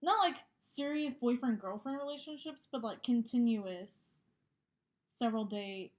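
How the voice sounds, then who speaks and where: A woman's voice: fairly high pitch, unhurried. A young woman co-hosting a casual chat, laid-back, joking and candid about her own life. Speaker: podcast co-host.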